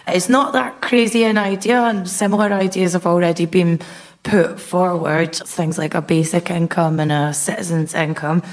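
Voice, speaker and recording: Female comedy panellist, young adult, lowish voice, conversational stand-up style delivery. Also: darkish tone.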